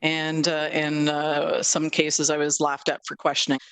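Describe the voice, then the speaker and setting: Man, bright forceful tone, dry close sound, councillor making formal remarks. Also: fairly high pitch, completely flat delivery, unhurried, clean tone, no room sound, rough recording. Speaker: city councillor.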